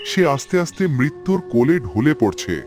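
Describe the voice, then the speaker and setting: Guy doing a grim fairy-tale court doctor, hopeless, swinging pitch, light music. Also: big pitch swings, brisk, a little mellow, close to an everyday voice. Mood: despairing. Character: grave, despairing royal physician. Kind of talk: dramatic cartoon dubbing.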